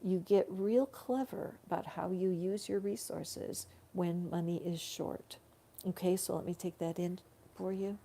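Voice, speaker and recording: A woman giving a live tarot reading in slow, soothing spiritual guidance, her voice growing tired. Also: lowish voice, steady tone, vocal fry, warm tone, a little hiss or hum. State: tiring voice. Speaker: tarot reader.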